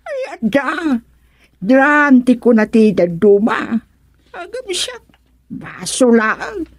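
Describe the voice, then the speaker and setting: A woman's voice: on the high side, very animated, slow pace, no echo, clean studio sound, heavily acted. A woman doing a teasing village gossip. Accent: Ilocano. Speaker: village woman in radio comedy. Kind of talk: Ilocano radio drama acting.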